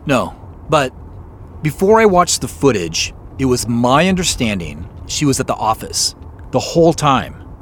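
A man's voice: mid-range voice, sing-song, measured pace, fairly bright tone, a little hiss or hum. A man playing a polite, uneasy professional in a naturalistic audio drama, speaking in an understated, hesitant way.

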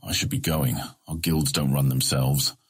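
An actor playing a shrewd, feared guildmaster of thieves in a fantasy audio drama, speaking with polite, diplomatic deference. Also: male voice, extremely low pitch, steady tone, normal speed, husky. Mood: politely scheming.

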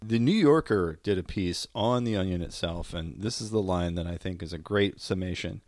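Male podcast co-host, fairly deep, rambling comedic chat, dry studio sound. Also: normal speed, a little mellow, no echo.

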